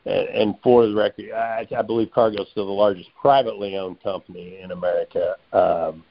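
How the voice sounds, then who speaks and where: A man's voice: on the low side, fairly even intonation, a little fast, vocal fry, no echo. A middle-aged man co-hosting a podcast with casual, rambling talk, repeating words as he goes. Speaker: podcast co-host. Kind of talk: casual, unscripted chat. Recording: dull, lo-fi call audio.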